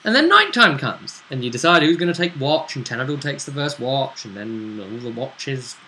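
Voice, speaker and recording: A man's voice: mid-range voice, very animated, moderate pace, pushed and bright, poor audio. A man chatting through a recap of a tabletop role-playing session as game master, in a bantering, conversational storytelling way. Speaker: game master and show host.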